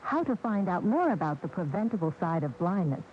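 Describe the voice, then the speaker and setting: Woman, mid-pitched, scripted announcement, dull broadcast tape, faint noise. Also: very animated, fairly quick. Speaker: public service announcer.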